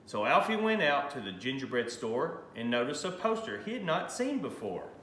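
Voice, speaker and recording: A man reading a rhyming children's storybook aloud in an expressive, animated way. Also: medium pitch, normal speed. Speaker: high school principal reading a picture book aloud. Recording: roomy echo, faint background noise.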